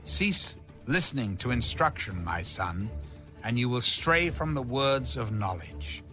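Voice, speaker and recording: A man delivers a scripture reading at a slow, deliberate pace. His pitch moves expressively and his phrasing is declamatory and measured. Music plays behind him. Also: very animated, pushed and bright. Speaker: audio Bible reader.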